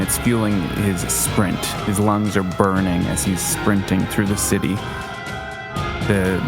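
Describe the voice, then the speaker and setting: A man playing a tabletop game, describing his character with intense, deliberate narration. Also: low-pitched. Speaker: player. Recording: loud plucked-guitar music bed.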